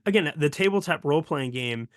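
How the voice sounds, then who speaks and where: A man's voice: fairly high pitch, expressive, brisk, no room sound, studio quality. A man in his forties co-hosting a podcast in casual discussion, opinionated and emphatic, wandering into digressions. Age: 40s. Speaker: podcast co-host.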